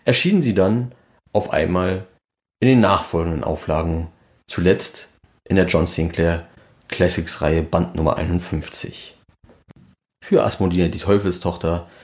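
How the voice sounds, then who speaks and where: Guy with a low voice reading a scripted podcast feature, documentary style. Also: darkish tone. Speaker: podcast presenter.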